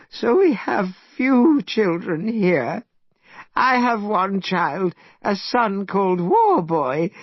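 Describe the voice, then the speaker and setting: A man voicing a frightened, tearful old village man who speaks slowly in a pleading, lamenting way. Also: high voice, slightly rough, no echo, muffled recording, pitched above the performer's own voice, exaggerated voice.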